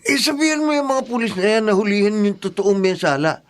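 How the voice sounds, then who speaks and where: A man's voice: high-pitched, animated, brisk. A man playing a defensive, stubborn jailed father, emphatic and aggrieved, in Filipino-accented Tagalog radio drama. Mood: resigned, bitter. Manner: indignant, emphatic.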